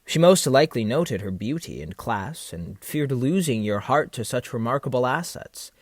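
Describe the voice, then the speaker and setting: An actor playing a vain, scheming, seductive military captain in a florid, theatrical audio drama style. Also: male voice, mid-range voice, animated, dry recording, clean studio sound, close to an everyday voice. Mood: smooth, manipulative. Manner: theatrical, florid, pausing rhetorically. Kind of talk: stage-play style period audio drama acting.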